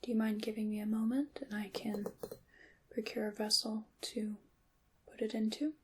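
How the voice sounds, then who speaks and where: A woman playing a courteous witch shopkeeper in an ASMR roleplay, whispering softly and breathily with formal, polite, old-fashioned wording. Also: fairly high pitch, fairly even intonation, normal speed, breathy edge. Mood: gracious, calm. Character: courteous witch shopkeeper selling handmade magical wares.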